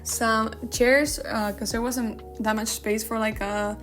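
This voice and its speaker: A woman's voice: fairly high pitch, fairly even intonation, slow pace, fairly bright tone. A woman whose first language is Spanish, giving casual, chatty gaming commentary in an informal way, sometimes searching for her English words. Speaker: gaming video creator. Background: background music.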